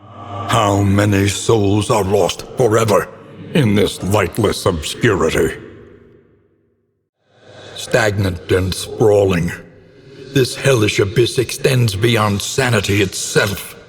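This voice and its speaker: An actor playing the grave, brooding narrator of a gothic horror game, speaking in a solemn, portentous, theatrical manner. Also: male voice, fairly low pitch, steady tone, slow pace, pauses often. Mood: brooding, ominous. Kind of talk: scripted dramatic narration. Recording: long cavernous reverb.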